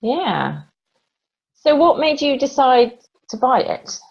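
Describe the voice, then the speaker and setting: A woman interviewing a guest, asking questions in a relaxed, friendly way. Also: high voice, takes it slowly, gravelly, slightly rough. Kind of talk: casual interview. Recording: dull lo-fi sound.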